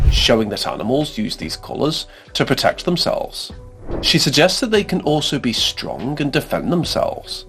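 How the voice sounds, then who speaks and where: Man narrating a scripted explainer over music. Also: medium pitch. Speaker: narrator of video essays. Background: background music under voice-over.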